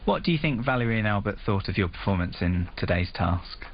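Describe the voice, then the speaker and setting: A man's voice: fairly low pitch, lively intonation, fairly quick. A man making formal scripted announcements to reality show contestants. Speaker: reality show's official announcer. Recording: echoey, dull, very noisy house audio.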